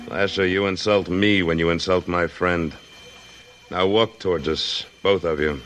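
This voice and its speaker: Low-voiced, commanding American frontier hero. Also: male voice, fairly even intonation, fairly bright tone. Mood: firm. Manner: firm, authoritative, deliberate. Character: steady, commanding frontier hero, adult man. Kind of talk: 1950s American radio western acting. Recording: old radio recording with slight noise.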